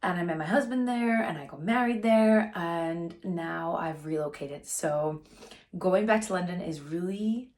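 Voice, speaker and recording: A woman in her thirties with a slightly raspy voice, chatting casually to camera as a vlogger, with frequent "like" fillers. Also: lowish voice, a little slow, darkish tone.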